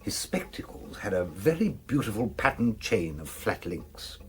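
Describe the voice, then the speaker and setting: An actor playing an earnest, methodical police detective with an English accent, reporting facts briskly in a radio drama. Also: male voice, fairly low pitch, big pitch swings, a little hiss or hum. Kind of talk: BBC radio drama acting.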